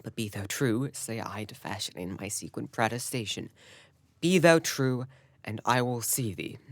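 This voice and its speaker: Ardent young warrior-lover, female actor, very deep register, earnest Shakespearean verse, dry audio-drama sound. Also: normal speed, dry recording. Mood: earnest, tender. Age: young adult. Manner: earnest, declamatory verse delivery.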